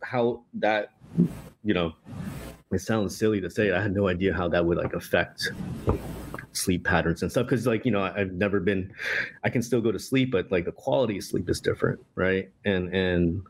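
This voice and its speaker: A man in his thirties with a very low voice chats loosely as an interview guest. He circles back on his words and leans on fillers. A faint background hiss sits under the recording. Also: normal speed, rough recording. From Vietnam. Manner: relaxed, many restarts and fillers. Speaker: guest, tea company co-founder. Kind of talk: casual interview conversation.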